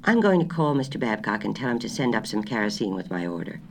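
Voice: medium pitch, sing-song, quick pace, a little hiss or hum. A reader voicing a patient, anxious city wife who speaks politely and placatingly, her voice raised a little.

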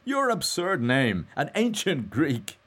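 A man puts on a gaily mocking young fellow from a novel. He declaims in a raised, swooping voice with husky edges. The manner is theatrical and mock-solemn, like a jester playing preacher. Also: a little high, animated, unhurried, darkish tone, voice pushed up. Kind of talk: acted dialogue in an audiobook novel.

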